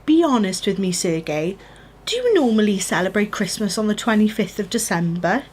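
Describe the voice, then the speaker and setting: A woman's voice: medium pitch, sing-song, normal speed, a little mellow, close to an everyday voice. An actress in a radio play as a frank, insistent young woman, a daughter standing up for herself. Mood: earnest. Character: reluctant, defiant engaged daughter, frank with her father.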